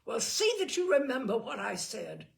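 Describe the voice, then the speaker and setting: A woman voicing a furious, drunken young man who sneers and shouts at his brother. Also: fairly high pitch, very animated, normal speed, gravelly, voice pushed up, full character voice.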